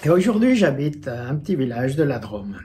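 A man's voice: medium pitch, big pitch swings, moderate pace, warm tone. A man from southern Italy speaking personally and directly to listeners to introduce his testimony. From southern Italy, moved to eastern France in childhood or youth. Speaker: testimony giver and singer. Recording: faint background noise with clicks.

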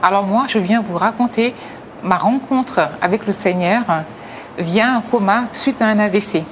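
A woman in her thirties from Africa, giving a personal faith testimony hesitantly, with many pauses. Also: medium pitch, a little hiss or hum. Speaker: testimony speaker.

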